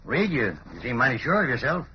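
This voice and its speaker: An actor playing a bossy, skeptical newspaper editor in an old-time radio drama, curt and authoritative. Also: male voice, medium pitch, very animated, a little fast, lightly acted. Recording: muffled, hissy old radio recording.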